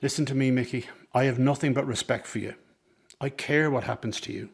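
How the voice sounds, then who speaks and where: Smooth-talking, scheming circus man, played by an actor. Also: mid-range voice, fairly quick. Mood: earnest, coaxing. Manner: ingratiating, persuasive, coaxing. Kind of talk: dramatic radio play dialogue.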